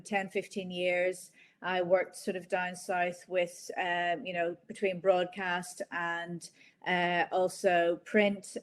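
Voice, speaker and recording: A woman in her forties with a Northern Irish accent speaks in a fairly low register with little rise and fall. She tells her own story in a chatty, reflective way, as a guest in an online interview. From Northern Ireland. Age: 40s. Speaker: interviewed guest, business founder. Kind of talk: conversational interview, personal storytelling. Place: online video call.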